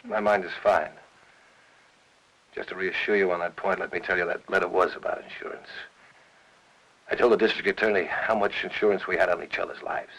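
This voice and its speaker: Noir radio husband, male actor, sickly and paranoid: very low, husky, insinuating menace. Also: full character voice, low-quality recording. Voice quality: husky, gravelly. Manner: hushed, insinuating, taunting, often dropping to a whisper. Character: menacing, paranoid invalid husband, coldly accusing his wife. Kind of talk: old-time radio noir acting.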